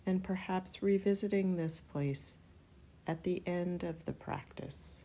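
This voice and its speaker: A woman leading a guided yoga nidra relaxation, speaking in gentle, spaced-out phrases as she gives unhurried instructions. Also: fairly low pitch, a little hiss or hum.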